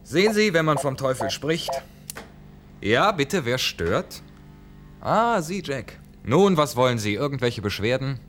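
A man's voice: medium pitch, very animated, measured pace, a bit bright, soft background music. A man playing a suspicious starship second-in-command in a science-fiction radio drama, sardonic and officious. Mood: officious, mocking.

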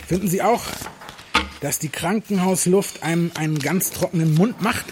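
A man's voice: high-pitched, moderate pace, noisy background, close to an everyday voice. A man playing a sociable, folksy hospital patient who chats and is easily amazed, in an audio drama. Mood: sociable, inviting. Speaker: actor in a drama. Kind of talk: dialogue acting in an audio drama.

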